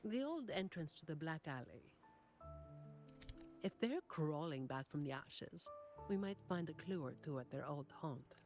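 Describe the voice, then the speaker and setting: A woman's voice: low-pitched, expressive, measured pace. An actress playing a cool, wry young woman security officer in a video game, with a dry, matter-of-fact delivery. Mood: wry, probing. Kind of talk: anime-style video game acting. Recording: game music behind the voice.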